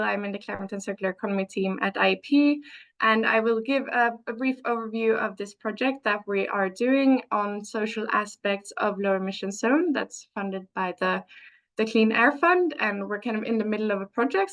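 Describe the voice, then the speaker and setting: Creaky-voiced woman presenting on a webinar. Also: medium pitch, fairly bright tone. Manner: halting, frequent restarts while walking through slides. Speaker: policy researcher on a webinar panel. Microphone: video call.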